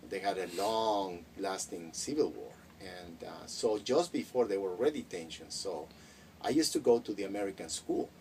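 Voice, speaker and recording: A middle-aged Mexican-born man whose first language is Spanish, recalling his schooling in a relaxed interview with frequent hesitations and restarts. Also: low voice, moderate pace. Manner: reflective, many hesitations and restarts. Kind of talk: casual interview. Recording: distant mic with faint noise.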